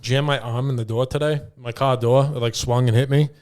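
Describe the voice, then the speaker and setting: A man's voice: medium pitch, steady tone, a little fast, a little mellow. A man co-hosting a podcast with casual, informal banter. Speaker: podcast co-host.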